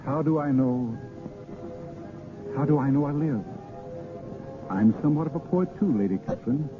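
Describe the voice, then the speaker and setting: An actor playing an ardent, gallant vagabond poet posing as a lord, with theatrical, hesitant pauses, in a 1930s radio drama. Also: male voice, fairly high pitch, animated, over music, natural voice. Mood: ardent, wooing. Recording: muffled 1930s radio broadcast recording.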